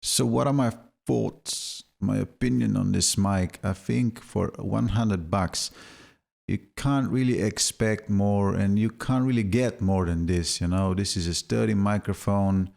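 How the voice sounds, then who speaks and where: A man talks through a product review in a relaxed, chatty way, often with filler words. His voice sits low and boomy. It has a gravelly edge. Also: unhurried. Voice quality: deep, boomy voice. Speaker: gear reviewer.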